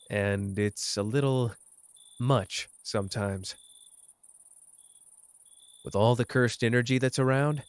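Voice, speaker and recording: A man's voice: on the low side, no echo, natural voice. Guy voicing a cocky but tender young sorcerer, asmr roleplay, takes his time, dead dry sound. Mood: tender, teasing. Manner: informal, hesitant when talking about feelings. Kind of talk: intimate roleplay audio acting.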